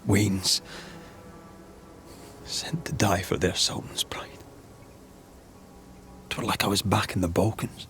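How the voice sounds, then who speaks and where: A man's voice: on the low side, steady tone, slow, pauses often, a bit bright, in a whisper. A man playing a war-haunted ghost hunter in a video game, with a Scottish accent and an earnest, plain-spoken manner. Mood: haunted, grieving. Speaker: game voice actor. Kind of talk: dramatic game dialogue.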